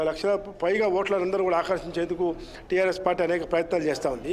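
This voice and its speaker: A man giving a live political news report in a halting, repetitive way, stumbling over his words. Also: high-pitched. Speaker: field reporter.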